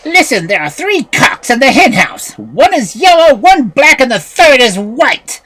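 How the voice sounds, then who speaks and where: A woman's voice: sits high, big pitch swings, measured pace, fairly bright tone, breathy edge, pitched above the performer's own voice, full character voice. A woman voicing a menacing, commanding old witch in a storybook tale, furious and full of threats.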